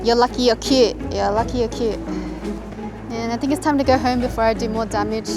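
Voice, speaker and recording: A woman chats to her camera as a travel vlogger, upbeat and breezy with easy little giggles. Her voice sits slightly on the high side. Music plays clearly behind her. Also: fairly even intonation, fairly quick. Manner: chatty, light giggles, upbeat. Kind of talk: casual vlog chat to camera. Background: background music.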